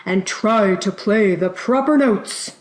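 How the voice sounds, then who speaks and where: A stern, commanding music teacher from a children's storybook, acted out by a woman. The delivery is snappish and scolding, slow and deliberate. The sound is roomy, with audible echo. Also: medium pitch, close to an everyday voice. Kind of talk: children's picture book character voice.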